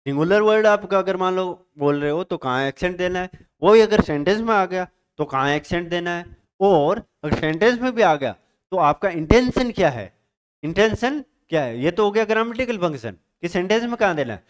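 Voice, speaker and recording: A male teacher giving an exam-prep literature lecture, explaining step by step, reading definitions slowly and checking in with his students. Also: sits high, animated, smooth. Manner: reads English definitions slowly.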